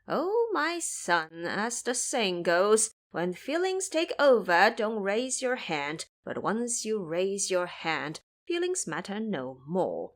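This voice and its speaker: A woman's voice: medium pitch, sing-song. A woman voicing a small, cocky male monkey monk warrior in an audio drama, with a sneering, teasing manner. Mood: smug. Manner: sneering, teasing, drawn-out taunts.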